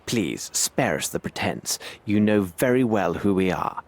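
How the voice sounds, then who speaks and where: An actor playing a composed gentleman detective in a video game, speaking formally and precisely with courteous authority. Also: on the low side, lively intonation, measured pace. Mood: stern. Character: composed, commanding, clever English gentleman detective, adult male. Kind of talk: video game cutscene acting.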